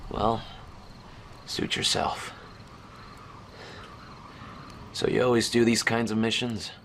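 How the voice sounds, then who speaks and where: A man's voice: mid-range voice, level tone, unhurried, a bit bright, slight background noise. A man playing a chatty, grumbling, easygoing soldier in a radio drama. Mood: relaxed, chatty. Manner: chatty, complaining.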